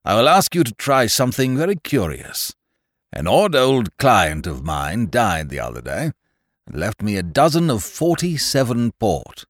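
A man's voice: mid-range voice, big pitch swings, measured pace, dry recording, clean studio sound, voice pushed up. A man voicing a courtly, old-fashioned elderly English solicitor in an audiobook, mild and genial, with an English accent and a habit of repeating his phrases. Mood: courtly, proud. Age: older. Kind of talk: audiobook character voice.

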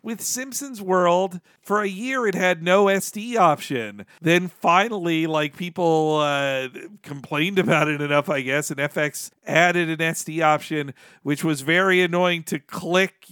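A man's voice: a little high, measured pace, hardly any pauses, fairly bright tone, clean tone, no room sound, clean studio sound. A man chatting casually as a podcast host, talking like an opinionated fan who wanders between asides.

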